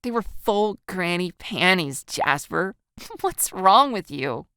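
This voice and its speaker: A woman's voice: on the low side, big pitch swings, slow pace, bright, forceful tone, clear, resonant tone, no room sound, clean studio sound, pitched above the performer's own voice, heavily acted. A woman voicing an amused, teasing teenage schoolgirl in a dramatized audio story, gasping and breaking into giggles as she talks. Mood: hysterical amusement.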